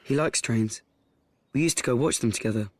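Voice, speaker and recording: An actor as a wry, mid-pitched teenage boy. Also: brisk, no room sound, lightly acted. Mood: wry, confiding. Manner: deadpan, confiding, self-deprecating. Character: wry, awkward, frank teenage boy narrating his life. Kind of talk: first-person radio play narration.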